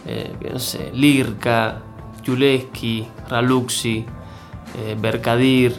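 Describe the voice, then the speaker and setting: A man's voice: medium pitch, flat delivery, slow, creaky, soft background music. Cuban man with a part-Argentine accent, hesitant. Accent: Cuban with Argentine mix. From Cuba. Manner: hesitant, many fillers and restarts. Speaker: interview guest. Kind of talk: conversational interview.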